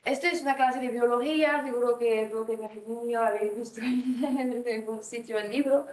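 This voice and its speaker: A woman's voice: on the high side, fairly even intonation, moderate pace, a little mellow. A woman from France with a French accent, giving a presentation at a panel, hesitant and full of fillers. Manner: hesitant, many fillers, non-native phrasing. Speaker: speaker at a panel. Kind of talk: presentation talk. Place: conference hall, standing before a screen. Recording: echoey conference hall.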